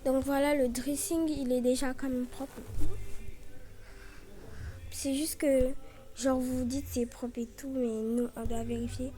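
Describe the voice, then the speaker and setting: Chatty high-voiced young French woman. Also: level tone, a little slow, pauses often, warm tone. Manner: chatty, filler-heavy with frequent "genre" and "voilà". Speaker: vlogger. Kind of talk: casual room tour vlog.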